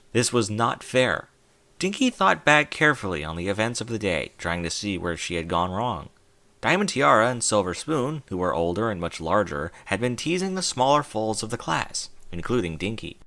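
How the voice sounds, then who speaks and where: A man narrating a fan fiction story as an audiobook, in an expressive storytelling manner. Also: fairly low pitch.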